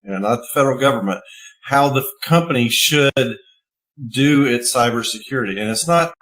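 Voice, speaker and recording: A man, a cybersecurity expert on a live panel, talking in a measured, halting way with frequent pauses. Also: mid-range voice, fairly bright tone, rough recording. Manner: measured, halting, many fillers. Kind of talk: thoughtful panel discussion.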